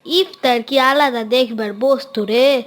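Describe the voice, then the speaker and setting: Woman voicing a high, humble village girl. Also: takes it slowly. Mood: earnest. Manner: modest, earnest, colloquial. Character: humble, kind, wise young village girl in a cartoon.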